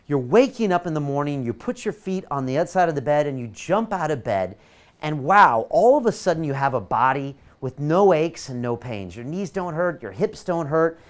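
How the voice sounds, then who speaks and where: A man's voice: a little high, very animated, normal speed. A man from California, hosting an online masterclass and leading a motivational guided visualization with eager, coaxing energy.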